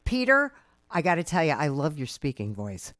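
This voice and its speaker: Chatty encouraging lady host, bouncy pitch, dead dry mic. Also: wildly swooping pitch, normal speed, a bit bright, no echo. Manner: upbeat, encouraging. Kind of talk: interview hosting.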